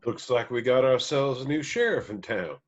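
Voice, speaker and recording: A man's voice: medium pitch, expressive, normal speed, muffled recording, natural voice. A man acting a folksy, easygoing country band leader in a screenplay reading. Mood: amused, welcoming.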